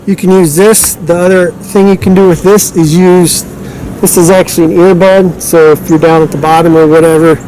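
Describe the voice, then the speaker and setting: Casting: male product demonstrator, slightly high voice, informal and off the cuff, some background noise. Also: little pitch movement, normal speed, dark tone, low-quality recording. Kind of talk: casual unscripted product demonstration.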